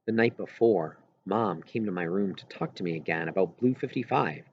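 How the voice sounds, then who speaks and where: A man with a husky voice reads a first-person novel aloud expressively, with halting pauses mid-phrase. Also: low-pitched, expressive, highs cut off, slight background noise. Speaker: audiobook reader.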